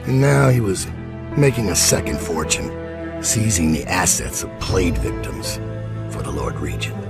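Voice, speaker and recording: An actor playing a cold, grim assassin in a video game, speaking in a terse, threatening manner. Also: male voice, deep voice, vocal fry, full character voice. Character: cold, menacing male assassin, interrogator and narrator. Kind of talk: dramatic video game acting. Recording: loud music behind the voice.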